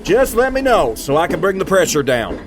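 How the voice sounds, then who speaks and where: A man's voice: big pitch swings, normal speed. A man playing a thoughtful, questioning steam train crewman in an audio drama, speaking in a curious, reflective way. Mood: inquisitive. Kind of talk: amateur audio drama acting. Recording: noisy rumbling train background.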